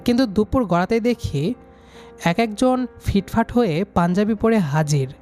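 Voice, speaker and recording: A man's voice: sits high, unhurried, darkish tone. A man narrating a scripted first-person story as the storyteller. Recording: music behind the voice.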